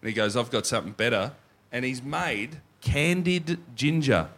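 A man's voice: medium pitch, moderate pace, background noise. A man with an Australian accent co-hosting a live comedy podcast, sounding a bit stuffy from a head cold and telling stories with acted-out quotes. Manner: storytelling, acts out quotes. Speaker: podcast co-host.

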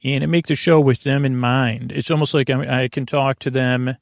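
A man hosting a sleep podcast as a bedtime storyteller, mumbling along in a halting, meandering, digressive monologue with a creaky voice. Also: medium pitch, fairly even intonation, dry recording, studio quality.

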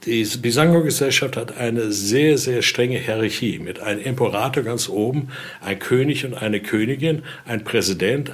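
A man giving an informal spoken explanation as an expert guide, often adding short tag questions to check agreement. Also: medium pitch. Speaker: expert guide showing a collection.

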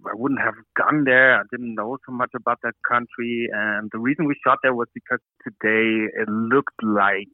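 A man's voice: mid-range voice, fairly even intonation, moderate pace, few pauses, dry recording. A man from Austria with an Austrian German accent, speaking English as a second language, answering interview questions by phone, hesitant with many fillers. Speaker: actor, interview guest. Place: hotel room. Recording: tinny phone line, dry.

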